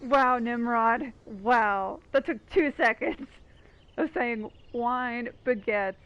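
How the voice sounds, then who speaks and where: High-voiced, sarcastic woman game streamer. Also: slow, a bit bright. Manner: sarcastic, quippy. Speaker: game streamer commenting on play. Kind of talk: casual joking commentary. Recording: dull mic with game music behind.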